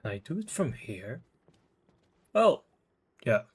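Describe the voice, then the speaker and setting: A man giving casual playthrough commentary as a game streamer, low-key and thinking aloud. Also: sing-song, slow pace. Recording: game music behind the voice.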